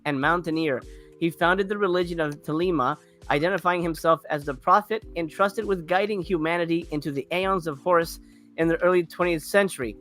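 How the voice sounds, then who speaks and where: A man hosting a casual panel chat about movies, talking in a halting, rambling way. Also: high voice, a bit bright. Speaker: host of a movie review panel.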